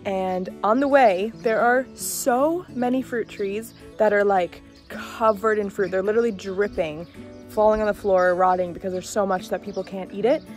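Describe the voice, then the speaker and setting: A woman's voice: mid-range voice, steady tone, moderate pace, a little mellow, faint music behind. A young woman from Bulgaria vlogging casually and with enthusiasm, talking into a phone outdoors on a village road. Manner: chatty, enthusiastic, laughs often. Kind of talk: casual travel vlog. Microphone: phone held by hand, then camera.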